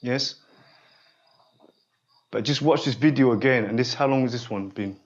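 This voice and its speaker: A man in his forties guiding a student through a one-on-one therapy session, speaking haltingly and often stopping to check that the listener follows. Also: mid-range voice, highs cut off. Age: 40s. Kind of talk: unscripted one-on-one guidance session.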